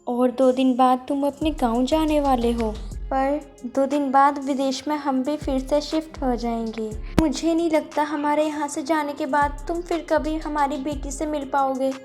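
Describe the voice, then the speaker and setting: A woman voicing a composed, confident middle-class mother in a cartoon moral story, measured and motherly. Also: sits high, fairly even intonation, darkish tone, soft background music. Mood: calm persuasion. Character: girlfriend's mother, composed, confident city matron.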